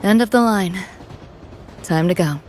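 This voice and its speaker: A woman's voice: medium pitch, measured pace, a little mellow, a little hiss or hum, lightly acted. An actress voicing a laconic, resigned young woman in a video game, talking in clipped, casual phrases. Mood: wistful, resolute. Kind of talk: scripted video game dialogue.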